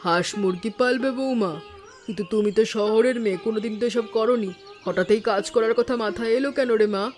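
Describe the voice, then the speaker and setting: A woman voicing an affectionate, fretting middle-aged mother and mother-in-law in an animated village drama. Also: on the high side, fast talker, faint music behind, natural voice. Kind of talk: animated family drama voice acting.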